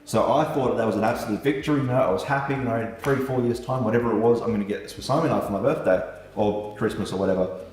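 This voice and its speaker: A man's voice: medium pitch, quick pace, darkish tone. A man who grew up speaking German first, a knife maker chatting casually to his viewers in a laid-back way with the odd swear. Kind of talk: casual vlog talk to viewers. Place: room with a light set up. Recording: echoey room, mic far off.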